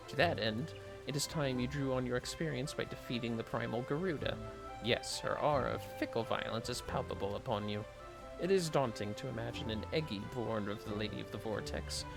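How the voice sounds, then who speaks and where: A man's voice: medium pitch, vocal fry, low-quality recording. A man voicing a scholarly, courteous researcher from a fantasy game, with ornate, formal speech. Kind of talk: reading game dialogue in character. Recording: music behind the voice.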